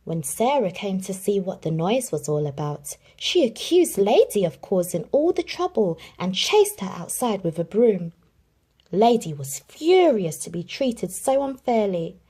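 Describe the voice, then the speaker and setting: Woman narrator for a children's read-aloud, expressive and animated, slightly breathy. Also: medium pitch. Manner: expressive storytelling with dramatic pauses. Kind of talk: reading a children's picture book aloud.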